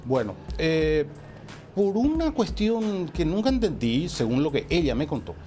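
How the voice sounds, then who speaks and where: An older man from Paraguay whose first language was Portuguese, a musician guest telling stories in an unhurried, reflective way with many pauses. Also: a little high, big pitch swings, mellow. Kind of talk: relaxed interview conversation. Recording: faint music underneath.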